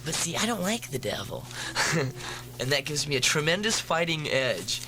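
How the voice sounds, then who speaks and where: Bright-voiced joking musician man. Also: on the high side, sing-song, moderate pace, faint music behind. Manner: joking, rambling, wry asides. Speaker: musician guest. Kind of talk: casual radio interview. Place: campus radio studio.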